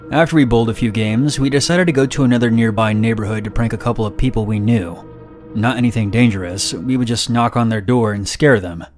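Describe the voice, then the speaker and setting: A man reading first-person horror stories in a steady, measured, somber narration. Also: on the low side.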